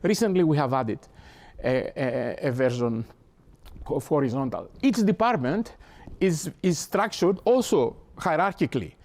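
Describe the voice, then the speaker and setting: An older Greek-accented man lecturing to an audience with a somewhat raised pitch. He is earnest and halting, often restarting and repeating words as he works toward his point. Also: animated, darkish tone. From Greece. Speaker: professor. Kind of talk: academic talk. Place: lecture hall.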